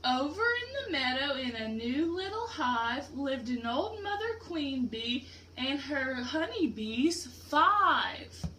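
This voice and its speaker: A woman teacher reads a picture book aloud in a high, lively voice. She goes slowly, pausing to explain things simply and question her pupils. There is some background noise. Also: poor audio. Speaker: teacher reading aloud to a class. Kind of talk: interactive children's picture-book read-aloud.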